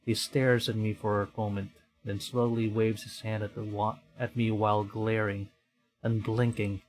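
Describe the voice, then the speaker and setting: A man's voice: lowish voice, little pitch movement, moderate pace, rough recording. Man streamer dramatically reading horror fiction. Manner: acts out the dialogue dramatically. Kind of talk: reading a horror visual novel aloud with live reactions.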